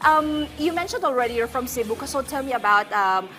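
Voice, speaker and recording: A woman's voice: a little high, animated, fairly quick, sharp tone, faint music behind. A woman with a Filipino accent hosting a conversational interview in a TV studio. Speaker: TV show host.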